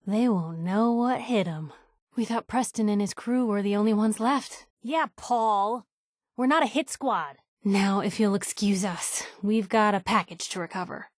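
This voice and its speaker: A woman's voice: fairly high pitch, a little mellow, no echo, clean studio sound, lightly acted. An actress playing a tenacious young American woman reporter in a post-apocalyptic video game, with sarcastic, snappy, streetwise banter. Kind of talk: video game character dialogue.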